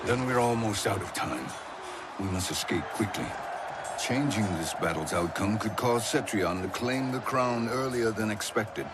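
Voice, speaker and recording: A man voices a cunning sorcerer in a game cutscene. His voice is a bit low and measured, with urgency in it. Heavy music plays behind. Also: lightly acted. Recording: loud music underneath.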